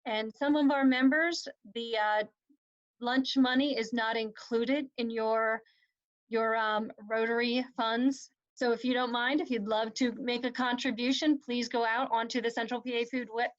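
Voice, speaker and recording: A woman's voice: fairly high pitch, steady tone, unhurried. A woman giving chatty club announcements on a virtual meeting and handing over to the next speaker. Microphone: video call. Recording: dull video-call audio.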